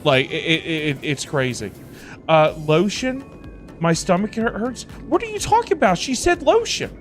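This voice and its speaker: A man's voice: high voice, very animated, moderate pace. A man hosting a livestream, chatting casually in a rambling way and repeating phrases for emphasis. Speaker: livestream host. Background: music playing in the background.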